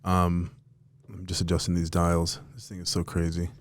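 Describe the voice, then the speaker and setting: A man's voice: sits low, completely flat delivery, moderate pace, no echo. A man hosting a casual podcast interview, friendly and hyped, calling his guest bro and dude. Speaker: podcast host.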